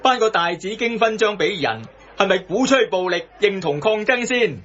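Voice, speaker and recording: An actor playing a wry, pointed commentator with rhetorical jabs and a Hong Kong Cantonese accent in a radio satire. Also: male voice, sits high, animated, normal speed, a bit bright, highs cut off. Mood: critical.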